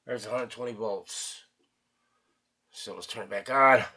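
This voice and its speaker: A man's voice: medium pitch, unhurried, noisy background. A man casually thinking aloud through a repair demonstration, mumbling to himself and trailing off mid-thought. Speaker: radio repairer hosting a repair video.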